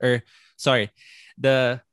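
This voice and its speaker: A relaxed male podcast host chats loosely, with plenty of "like" and "you know" and frequent laughs. His voice sits at a middling pitch. The sound is dry and close. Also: slow, clear, resonant tone, dry recording. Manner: casual, filler-heavy, laughs often. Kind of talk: casual interview chat.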